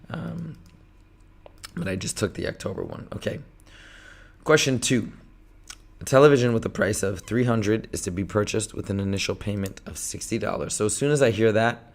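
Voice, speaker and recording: A man with a hoarse, failing voice leads a live-streamed math tutoring session, talking through problems step by step with energy. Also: fairly low pitch. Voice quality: gravelly, strained. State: hoarse, losing his voice.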